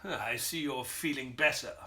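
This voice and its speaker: An actor playing a polite, earnest detective's companion who narrates an audio drama in a formal, theatrical storytelling manner. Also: male voice, medium pitch, normal speed, pushed and bright, a little hiss or hum, close to an everyday voice. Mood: exasperated.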